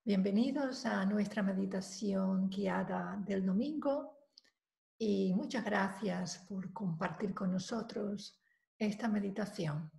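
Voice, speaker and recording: Woman, meditation guide, calm and measured, long pauses, gravelly fry, roomy echo. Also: medium pitch. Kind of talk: guided meditation, slow spoken instruction. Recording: roomy, echoing voice recording.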